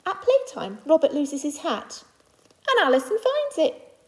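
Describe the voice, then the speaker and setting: Woman teacher reading a picture book to little kids, highish soft-toned voice, simple reassuring way of talking. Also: very animated. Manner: simple, child-directed delivery with deliberate phrasing and reassuring asides. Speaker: infant school teacher reading aloud to new pupils. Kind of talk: children's picture book read aloud with personal asides.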